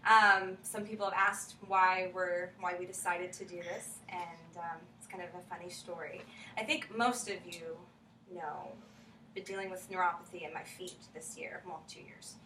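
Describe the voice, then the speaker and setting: Chatty, bright-voiced woman in a roomy space. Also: on the low side, normal speed. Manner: chatty, effusive, animated storytelling. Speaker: presenter introducing a play. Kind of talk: casual spoken introduction. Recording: roomy, distant mic, faint noise.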